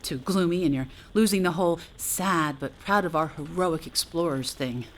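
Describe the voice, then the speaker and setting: An actress playing a wry, talkative astronaut facing doom in an audio drama, sardonic and conversational in manner. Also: female voice, low voice, moderate pace, slight background noise, close to an everyday voice. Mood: wry, bitter. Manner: sardonic, conversational, hesitant asides. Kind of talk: naturalistic audio drama acting.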